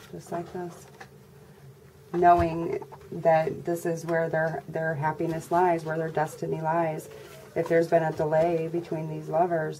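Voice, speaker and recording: Low, gravelly congested woman reading tarot. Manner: monotone, low-energy delivery. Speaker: tarot reader. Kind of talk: casual tarot reading for viewers. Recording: noisy recording with birds chirping. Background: birds and background noise.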